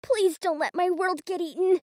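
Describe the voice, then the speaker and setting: A woman's voice: very high-pitched, steady tone, normal speed, a little mellow, dry recording, studio quality. A woman voicing a small, trusting, eager young boy in a fantasy drama. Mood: eager, pleading. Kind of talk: fantasy audio drama acting.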